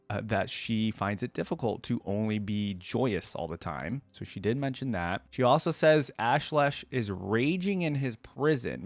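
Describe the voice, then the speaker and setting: A man's voice: medium pitch, no room sound. A man hosting a reaction video, giving casual commentary and musing aloud as he goes. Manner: musing, thinking aloud, repeats phrases he hears.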